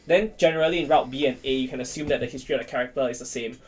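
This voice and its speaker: A man's voice: mid-range voice, racing, husky. A man chatting casually as a podcast guest, rambling and repeating himself, often tagging his phrases with "right". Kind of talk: casual chat.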